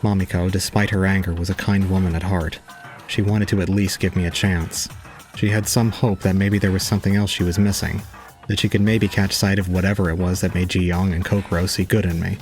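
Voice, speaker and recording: A man plays a detached young male student telling his own story in an audio drama. His low voice is solemn, resigned and calm, with soft music behind it. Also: brisk. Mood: brooding. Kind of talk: scripted first-person audio drama narration.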